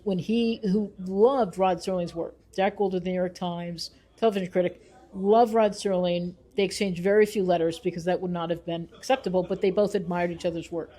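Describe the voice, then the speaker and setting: Female interviewee, researcher and author type. Mellow tone, mid pitch, earnest and emphatic explainer. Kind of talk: thoughtful interview talk.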